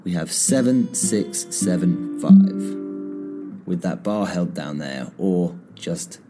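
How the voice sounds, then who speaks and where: A man hosting a guitar lesson podcast, calmly explaining finger positions step by step, his voice a little croaky. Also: deep voice, slow. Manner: relaxed, step-by-step instruction. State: slightly croaky after singing. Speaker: guitar teacher and podcast host. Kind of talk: casual spoken guitar lesson. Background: guitar playing between phrases.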